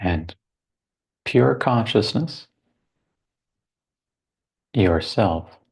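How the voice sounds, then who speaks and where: Very slow, gravelly low-voiced meditation guide, male. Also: animated. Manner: slow, contemplative, with long pauses between short phrases.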